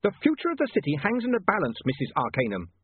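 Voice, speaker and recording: A man's voice: sits high, huge pitch swings, fast talker, slightly rough, no room sound, pitched above the performer's own voice, heavily acted. A man voicing an earnest, frantic, educated young newspaperman with an urgent, insistent manner in an audiobook. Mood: desperate. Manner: urgent, insistent, hesitant at times. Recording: muffled narrow-band, phone-like radio sound.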